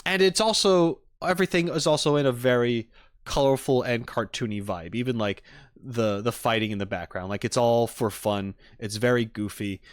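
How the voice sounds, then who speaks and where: A man's voice: medium pitch, normal speed, clean tone, dry recording, clean studio sound. A man hosting a stream, giving casual, enthusiastic and analytical reaction commentary in a rambling way as he talks with chat. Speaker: streamer host.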